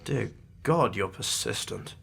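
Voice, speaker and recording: An actor playing a brusque, secretive agent in an audio drama, speaking in a clipped, formal, scornful manner. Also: male voice, fairly low pitch, lively intonation, slow pace, vocal fry, fairly bright tone, husky, full character voice. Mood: curt, impatient. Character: brusque, secretive male agent, impatient and dismissive.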